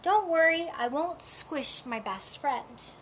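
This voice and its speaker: Lady doing a little girl hippo from a picture book, super high, bubbly and eager, bit of noise behind. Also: expressive, slow pace, fairly bright tone, full character voice. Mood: friendly, reassuring. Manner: exuberant, exclaims and repeats words eagerly. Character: excited, cheerful young girl hippo starting school.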